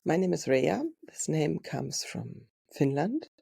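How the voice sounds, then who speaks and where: A woman with a German accent hosting her own podcast. She tells her story in a slow, reflective monologue and often searches for words. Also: low-pitched, expressive, vocal fry, dark tone, husky. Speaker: podcast host and dog trainer.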